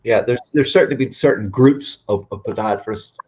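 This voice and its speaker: Low-voiced interview host on muffled audio. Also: male voice, moderate pace, a little mellow. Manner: hesitant, affirming with short backchannels. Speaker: host, podiatrist. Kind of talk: casual interview. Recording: dull lo-fi audio.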